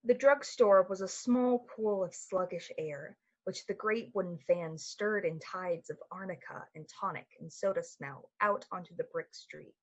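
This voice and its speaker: A woman's voice: lowish voice, a little slow, few pauses, breathy edge. A woman narrating a suspenseful story in the manner of a 1940s radio play. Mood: measured suspense. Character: literary storyteller of a suspenseful summer-night tale. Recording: slightly muffled, music underneath. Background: music.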